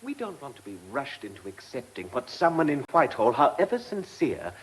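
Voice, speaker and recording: An actor with an English accent playing an earnest, polite village campaigner in a radio drama, well-spoken and eager. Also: male voice, medium pitch, big pitch swings, a little fast, close to an everyday voice, poor audio. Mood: earnest pleading.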